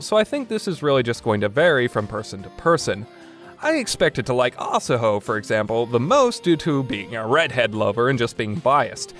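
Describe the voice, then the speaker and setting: Man reviewing a game over music. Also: mid-range voice. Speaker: podcast host and reviewer. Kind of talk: casual opinionated game review. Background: background music.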